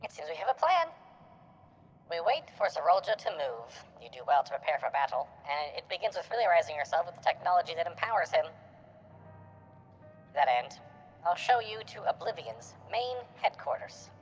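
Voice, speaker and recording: Man voicing a composed resistance strategist, very animated intonation. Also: fairly high pitch, normal speed, voice pushed up. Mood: composed, businesslike. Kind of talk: acted reading of video game dialogue. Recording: dull lo-fi sound with game music.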